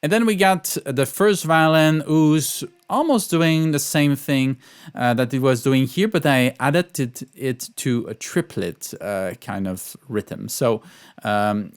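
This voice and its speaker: A man giving an informal music tutorial as a composer, speaking in halting, repeated phrases. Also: medium pitch, clean tone. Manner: sings out rhythms in syllables. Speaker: composer teaching orchestration.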